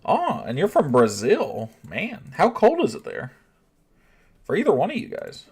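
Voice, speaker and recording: A man talks loosely to his live stream audience, answering chat as he goes. He thinks out loud and often stops to rephrase before he finishes a thought. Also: on the high side, wildly swooping pitch, measured pace, husky. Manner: chatty, reads chat aloud, often restarts and thinks out loud. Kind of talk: casual livestream chat.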